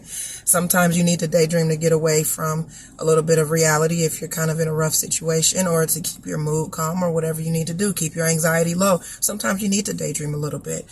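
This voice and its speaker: A woman, hoarse and scratchy after an illness, giving a casual motivational talk that explains ideas step by step and keeps checking in with listeners. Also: on the low side. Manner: teaching, repeats points and checks in with the listener. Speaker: vlogger giving inspirational talks.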